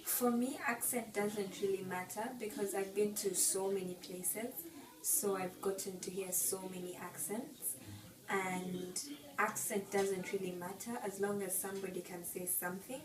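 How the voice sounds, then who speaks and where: A woman's voice: medium pitch, steady tone, measured pace, roomy sound, distant mic, crowd noise, rough recording. A young woman from Uganda, a university student giving easygoing, reflective answers. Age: young adult. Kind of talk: casual street interview answers.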